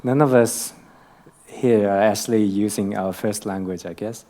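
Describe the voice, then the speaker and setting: A woman hosts a relaxed interview podcast, gently prompting her guests. Her voice is extremely low for a woman, with a dark, mellow tone. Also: normal speed. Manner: encouraging, prompting questions. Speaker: podcast host. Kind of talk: casual interview.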